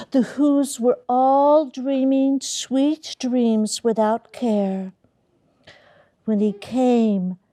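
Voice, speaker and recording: Woman, fairly high voice, unhurried pace, theatrical storybook narration for children, stretched key words. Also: dark tone, slightly rough. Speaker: storybook reader, college dean. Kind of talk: expressive storybook reading to schoolchildren. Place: elementary school classroom.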